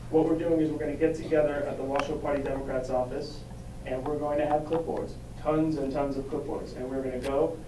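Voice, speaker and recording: A man's voice: fairly high pitch, background noise. Man speaking in an echoey hall. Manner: halting, frequent restarts. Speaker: volunteer organizer. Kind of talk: public speech at a town hall. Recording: echoey hall recorded from far off.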